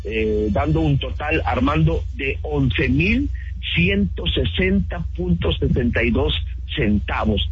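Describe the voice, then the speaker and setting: Radio reporter, man, dull lo-fi sound. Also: a little high. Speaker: street reporter interviewing a worker for a radio news show. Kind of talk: live radio field report and interview. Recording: dull lo-fi radio sound, faint music behind.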